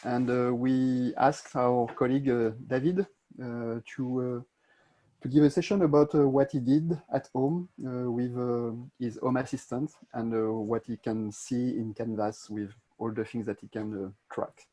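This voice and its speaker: A man from France with a French accent, introducing the next speaker at a meetup and pausing often on "uh". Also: mid-range voice, steady tone, dark tone, low-quality recording. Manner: hesitant, with many "uh" fillers. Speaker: community team meetup host.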